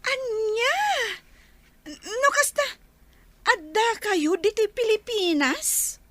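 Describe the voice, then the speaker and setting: A woman playing an excitable, gossipy friend in an amateur audio drama, with an Ilocano accent. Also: extremely high pitch, slow pace, exaggerated voice. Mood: surprised, concerned.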